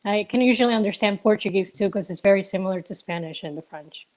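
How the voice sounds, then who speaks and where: A woman's voice: mid-range voice, quick pace, a little mellow, rough recording. A young woman from Ecuador, a business co-owner, answering interview questions casually and hesitantly, with many fillers and restarts, over a remote call.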